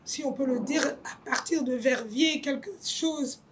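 A woman's voice: sits high, a little slow, a little hiss or hum. A young woman hosting an interview, asking long winding questions and affirming often. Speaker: host. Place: hotel lounge, indoors.

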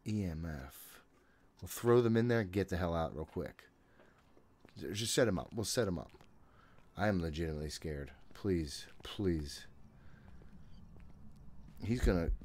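A man's voice: low voice, a little mellow. A man in his thirties giving casual, nervous, jokey and profane commentary while playing a horror game at home. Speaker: gaming streamer. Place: small room at home, indoors. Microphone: desk condenser mic close by, headphones on.

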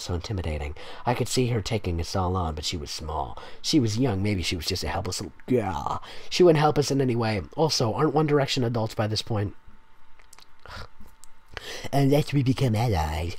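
A man's voice: lowish voice, darkish tone, slightly rough, dry recording. A man reading fan fiction aloud in a dramatic style, with sarcastic, exasperated asides. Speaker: fanfic reader and commentator.

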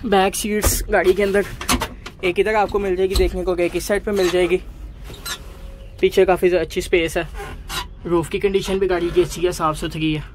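A man walking viewers around used cars at an outdoor bazaar, listing their features in a steady, repetitive way. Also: sits high, normal speed, pauses often. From Pakistan. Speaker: car market video host. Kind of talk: walkaround car review for viewers. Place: outdoor car bazaar, dusty lot. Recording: outdoor market noise behind.

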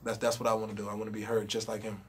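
A man's voice: fairly low pitch, monotone, rapid-fire, a bit bright, a little hiss or hum. A young man, a rapper, chatting casually in an interview with a handheld mic in a studio room, laid-back and full of "you know what I mean". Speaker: rapper guest.

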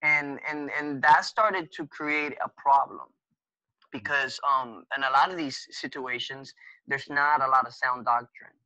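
A man in his thirties whose first language is Spanish, talking as a podcast guest over a video call with many pauses and fillers. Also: mid-range voice, sharp tone, dry recording. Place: small room at home. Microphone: webcam video call. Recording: dull lo-fi webcam audio.

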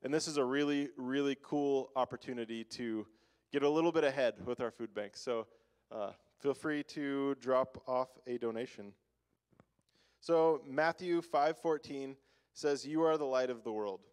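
A man's voice: medium pitch, normal speed, darkish tone, smooth. Chatty young man making church announcements. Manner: chatty, laughing, many "like" fillers. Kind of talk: casual church announcements and devotional. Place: church stage, large room.

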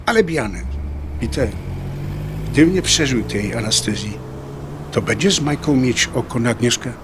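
Actor as blunt middle-aged biker, traffic noise behind. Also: male voice, medium pitch, animated, moderate pace, natural voice. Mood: earnest, afraid. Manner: colloquial, blunt. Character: anxious middle-aged atheist motorcyclist, blunt and joking. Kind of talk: dialogue acting in an audio drama.

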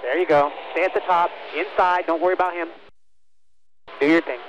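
A man with a fairly high voice talks over a race team radio with faint noise. He works as a spotter, guiding a driver in short, clipped phrases that he often repeats. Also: fairly even intonation, a little fast, highs cut off.

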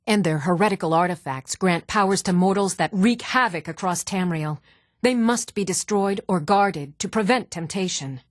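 An actress playing a steady, firm young woman in a fantasy video game, speaking with measured, earnest delivery. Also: fairly low pitch, no room sound, studio quality, natural voice. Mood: solemn, stern. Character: even-toned young adult woman of a fantasy world, steady and stern.

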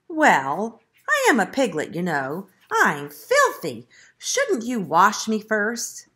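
A woman's voice: mid-range voice, wildly swooping pitch, slow pace, sharp tone, heavily acted. A woman voicing a small, sly storybook piglet that squeals and wheedles with mock innocence and meek sighs. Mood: sly meek pleading. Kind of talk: children's storybook read-aloud.

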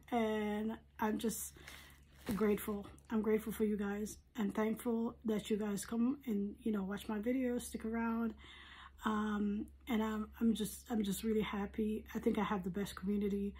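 Female vlogger, medium pitch, husky and hoarse, casual rambling review chat. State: hoarse, recovering from surgery. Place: living room at home.

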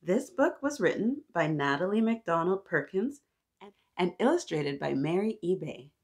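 A woman in her thirties reading aloud to young children, animated and encouraging, often addressing them directly with questions. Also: lowish voice, normal speed. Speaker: children's story-time host. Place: small decorated indoor room.

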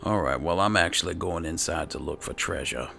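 A man's voice: low voice, fairly quick, sharp tone. A man giving casual roleplay commentary over a video game, musing to himself in a laid-back way. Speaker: gaming video host. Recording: clear game ambience noise behind voice. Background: video game ambience.

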